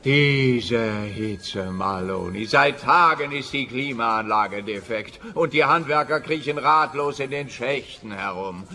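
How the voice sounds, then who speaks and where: A forceful, bright male voice ringing in a long reverb: a grumpy, weary cop in a radio play. He grumbles with long-suffering sarcasm. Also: mid-range voice, takes it slowly, hardly any pauses. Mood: weary, grumbling.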